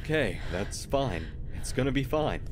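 A man's voice: mid-range voice, sing-song, moderate pace, a little hiss or hum, natural voice. A man playing a protective, joking tough guy on a spaceship crew, casual and blunt. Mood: reassuring. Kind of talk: audio roleplay acting.

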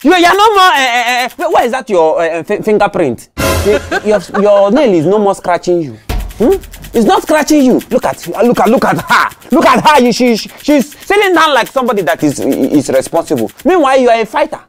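Man as a spiteful caretaker; stammering taunts, lively pitch, light music bed. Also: brisk. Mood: taunting. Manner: mocking, stammering, with Igbo exclamations. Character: taunting male compound caretaker, boastful and spiteful. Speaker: compound caretaker. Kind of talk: comedy skit acting.

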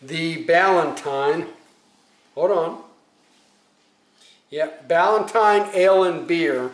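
Slow-talking, high-pitched middle-aged man. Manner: boisterous, salty. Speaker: beer taster on camera. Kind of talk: casual banter, home video. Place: large open living room and kitchen at home. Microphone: handheld camera a few feet away. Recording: echoey room, clear background noise.

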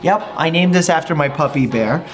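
A man's voice: on the high side, lively intonation, fairly quick, soft background music. A young man in his twenties, a doctor hosting a casual food vlog from his home kitchen, explaining health benefits in an upbeat, easy way. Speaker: doctor and channel host.

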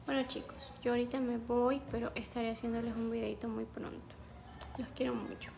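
A woman's voice: fairly high pitch, little pitch movement, a little fast, gravelly, background noise. Chatty young woman from Ecuador. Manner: chatty, reads out viewer names and answers them. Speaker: livestream host. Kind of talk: casual livestream chat with viewers. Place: small room indoors. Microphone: phone on a ring light stand.

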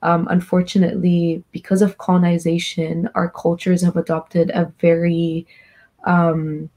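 A young woman from Egypt speaks in a low, mellow voice with a flat, even delivery. She talks earnestly and reflectively as a panelist. It is recorded on a laptop webcam in a small room. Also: measured pace. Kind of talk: panel discussion on video call. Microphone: laptop webcam video call.

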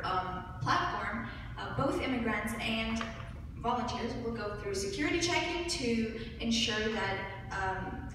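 A young woman from Ukraine giving a student pitch presentation with hesitant pauses, recorded from far off in a large hall. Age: young adult. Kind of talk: rehearsed group pitch presentation. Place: large hall with seated audience. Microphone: far audience camera. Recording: echoey hall from far off, room noise.

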